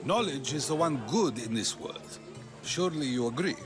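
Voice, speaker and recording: An actor playing a probing, articulate ancient Athenian philosopher in a video game, musing and questioning. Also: male voice, on the high side, expressive, normal speed, lightly acted. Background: game music.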